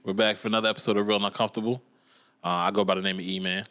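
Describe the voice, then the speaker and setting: A man's voice: on the low side, little pitch movement, rapid-fire, fairly bright tone, smooth. A man hosting a podcast in casual chat, using loose slang and restarting his sentences often. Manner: loose slang-filled talk with many restarts. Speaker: podcast host.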